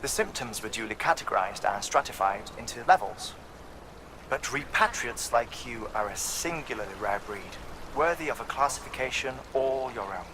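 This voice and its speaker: A man playing an eccentric, intellectual middle-aged scientist in a game, musing in a theatrical, halting, erudite way. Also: mid-range voice, natural voice. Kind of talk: scripted game monologue. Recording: clear ambient background noise.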